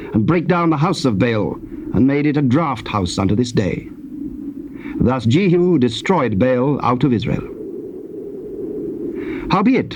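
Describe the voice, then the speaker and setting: A man narrating dramatized scripture in a solemn, measured storytelling manner. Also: mid-range voice. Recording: dull, noisy old cassette tape.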